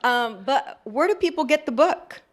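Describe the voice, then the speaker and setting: A woman moderating a live bookstore Q&A, giving brief, warm prompts to the guest. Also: sits high, lively intonation, moderate pace. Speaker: event moderator. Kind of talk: hosting a live Q&A. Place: bookstore event room.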